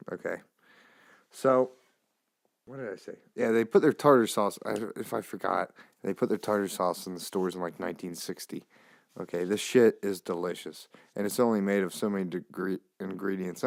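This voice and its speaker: A man's voice: low-pitched, vocal fry, smooth, dry recording, studio quality. A man hosting a podcast with a nasal voice, rambling casually in a loose, profane way with meandering asides. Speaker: podcast host.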